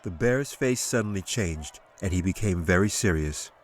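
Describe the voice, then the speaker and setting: A man narrating a fantasy audiobook as its author, in a measured, dramatic storytelling manner. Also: low voice, expressive, background noise. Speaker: author reading own audiobook.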